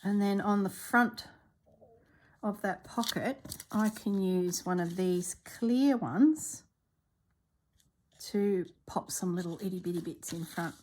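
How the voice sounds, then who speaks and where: A woman narrating her crafting work in a soft, relaxed voice, sometimes dropping to a whisper, congested and clearing her throat. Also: medium pitch, slow pace. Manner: soft-spoken, often drops to a whisper while working. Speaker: craft video maker.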